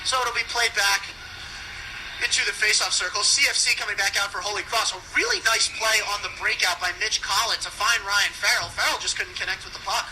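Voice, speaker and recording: High-pitched, excitable male hockey announcer. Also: fairly quick. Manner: urgent, excitable calls. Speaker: play-by-play announcer. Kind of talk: live ice hockey radio commentary. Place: ice hockey rink broadcast position. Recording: noisy arena radio broadcast.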